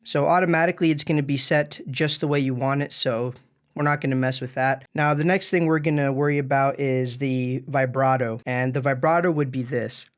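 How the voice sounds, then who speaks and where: A man's voice: mid-range voice, steady tone, a little fast. Man giving a casual gear tutorial. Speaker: music gear tutorial presenter.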